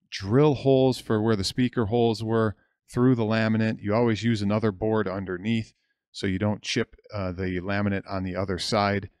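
A man's voice: lowish voice, a little mellow, no echo, studio quality. A middle-aged American man narrating a DIY build as a hobbyist, in a plain, matter-of-fact explanatory voice-over. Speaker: hobbyist video host.

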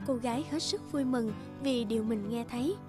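High-pitched woman narrating a fairy tale. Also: moderate pace. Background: music.

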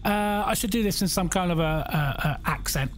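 A young man with a croaky voice, chatting and joking through a horror game as a gaming YouTuber. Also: high-pitched, normal speed, a little hiss or hum. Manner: chatty, jokey, reacting aloud. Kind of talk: casual let's-play commentary.

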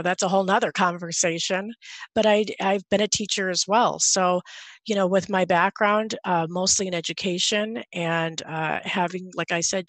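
A woman's voice: fairly low pitch, fairly bright tone, no room sound. A woman in her thirties, from Guatemala, speaking earnestly as a guest over a video call with a headset, with many fillers. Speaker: guest, podcaster. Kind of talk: casual video-call interview. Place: home room indoors.

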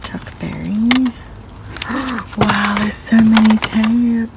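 Loud noise surrounds a woman talking at a slow, unhurried pace. She chats casually in vlog style, half to herself, murmuring as she reads out labels from the things she is sorting through. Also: fairly high pitch, little pitch movement. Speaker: reseller vlogger. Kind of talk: casual vlog chat while picking through finds. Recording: loud handling and background noise.

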